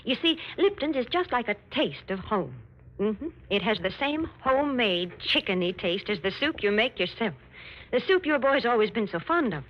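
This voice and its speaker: A woman's voice: fairly high pitch, lively intonation, moderate pace. A woman playing a chatty, homey spokeswoman in 1940s radio advertising, folksy and emphatic. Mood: homey persuasion. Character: chatty, prim, homey woman pitching products. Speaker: commercial spokeswoman. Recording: muffled 1940s radio recording.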